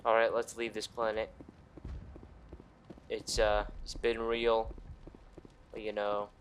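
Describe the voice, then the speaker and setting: A man's voice: fairly low pitch, completely flat delivery, slow, a little hiss or hum. A man doing casual let's play commentary, profane and sarcastic with mock indignation. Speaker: gaming video player and commentator. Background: game music.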